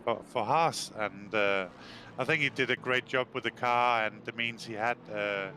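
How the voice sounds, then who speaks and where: A man's voice: mid-range voice, moderate pace, sharp tone, a little hiss or hum. Danish man, hesitant commentator. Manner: hesitant, many fillers and restarts. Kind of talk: live sim racing commentary.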